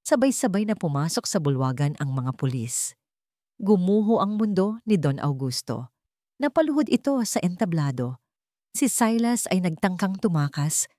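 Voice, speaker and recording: Female story narrator, Filipino accent, lower register, scripted melodrama, dry close sound. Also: a little mellow, dry recording. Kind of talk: scripted dramatic narration of a romance revenge story.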